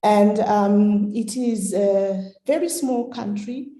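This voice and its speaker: A middle-aged woman from Zimbabwe telling her story in a measured, storytelling way on a webinar. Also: mid-range voice, steady tone, mellow, roomy sound. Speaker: hemophilia carrier sharing her story. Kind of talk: webinar talk.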